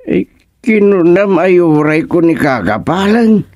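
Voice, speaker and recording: A man acting a hapless, grumbling village husband in an Ilocano radio comedy drama, with drawn-out, groaning exclamations. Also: high voice, animated, a little mellow, no room sound, clean studio sound. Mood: surprised.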